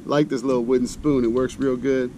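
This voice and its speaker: Loud outdoor ambience with an engine-like rumble sits behind a middle-aged American man. His voice is medium in pitch. He talks casually and colloquially, thinking out loud as he hosts a cooking demonstration. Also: flat delivery, brisk. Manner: folksy, colloquial, thinking aloud. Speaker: host of an outdoor cooking channel. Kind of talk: casual chat while demonstrating camp cooking.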